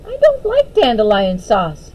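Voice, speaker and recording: A high-pitched, whiny little girl character from a storybook, acted by a woman reading aloud. She is fussy and huffy, thinks herself special and frightens easily, with a very lively swing to her voice. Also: a little slow, slight background noise. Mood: bored, huffy. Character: fussy, boastful young female character, overly special, easily scared.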